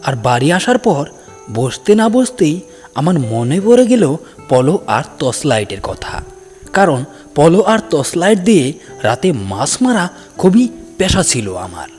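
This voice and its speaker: A man's voice: on the high side, big pitch swings, unhurried, darkish tone. A man narrating a scripted first-person ghost story in a dramatic storytelling style. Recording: long cavernous reverb, music underneath. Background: background music.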